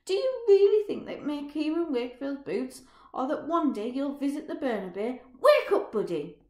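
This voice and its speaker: A woman voicing an angry, bitter young man who works in a factory, shouting and threatening. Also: fairly high pitch, expressive, moderate pace, natural voice. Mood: furious. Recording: roomy echo.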